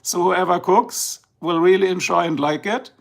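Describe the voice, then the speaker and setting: German-accented male streamer, gravelly and a touch high, rambling and sleepy. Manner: rambling, chatty, slow and drowsy at times. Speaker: livestream host. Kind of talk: casual live-stream chat. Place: indoors, filming a tablet slideshow.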